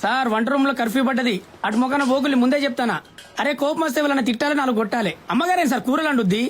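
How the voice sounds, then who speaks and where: A man acting an excitable, grumbling household servant in a film comedy, chattering in exasperation. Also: very high-pitched, rapid-fire, slight background noise. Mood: exasperated.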